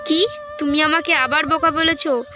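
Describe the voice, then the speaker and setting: Naive young wife, played by a woman, high voice, easily hurt, cartoon storytelling over prominent music. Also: steady tone, moderate pace, natural voice. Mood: hurt, indignant. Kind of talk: amateur cartoon story voice acting.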